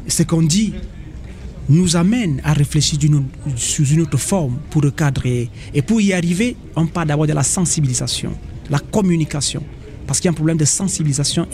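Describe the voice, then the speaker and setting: A man from Cameroon, an association representative, speaking earnestly and haltingly in a radio interview at an association fair. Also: fairly high pitch, darkish tone, slight background noise. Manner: hesitant, earnest, many pauses.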